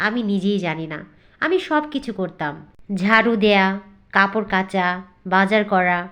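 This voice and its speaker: A woman's voice: medium pitch, a bit bright, close to an everyday voice. A woman playing a wronged, simple young village stepdaughter who tells her tale earnestly and plaintively in a cartoon fairy tale. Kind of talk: storybook narration and cartoon dialogue.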